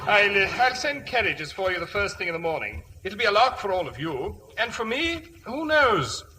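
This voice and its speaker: A suave fortune-hunting earl in a vintage radio comedy. The voice is high and bright, swinging freely with theatrical upper-class polish. Faint hiss sits on the old broadcast recording. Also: male voice, very animated, normal speed, exaggerated voice. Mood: cheerful. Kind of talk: 1940s-1950s radio comedy acting.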